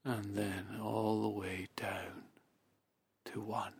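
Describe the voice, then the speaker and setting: A man guiding a hypnosis session, speaking softly and slowly in a half-whispered, soothing delivery. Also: on the low side, little pitch movement, creaky, slightly rough, no echo. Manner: soft, slow, half-whispered. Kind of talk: guided hypnosis narration.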